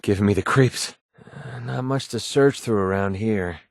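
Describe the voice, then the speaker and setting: A man's voice: lowish voice, measured pace, creaky, dry recording, studio quality. A man playing a cynical, wisecracking young mercenary sniper in a video game, speaking in an offhand, grumbling, sardonic way. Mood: uneasy, casual. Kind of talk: video game companion dialogue.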